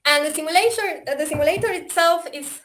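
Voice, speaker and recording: High-voiced Argentinian woman presenting. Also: fast talker, a bit bright. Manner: hesitant, many fillers and restarts. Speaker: software developer presenting. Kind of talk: webinar presentation. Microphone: video call.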